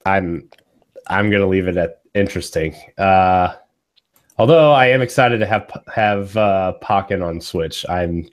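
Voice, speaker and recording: Young man, very low voice, a bit hoarse from a cold, casual podcast host, rambling, home studio mic. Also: animated, a little slow. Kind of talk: casual gaming podcast chat. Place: small home studio with green backdrop. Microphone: studio condenser mic on boom arm.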